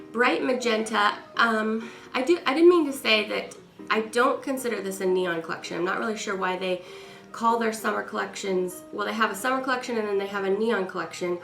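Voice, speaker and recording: A woman's voice: on the high side, creaky, a bit bright. A woman vlogger giving a chatty, rambling product review while stuffy and congested from a cold. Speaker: beauty vlogger. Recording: echoey room with faint music.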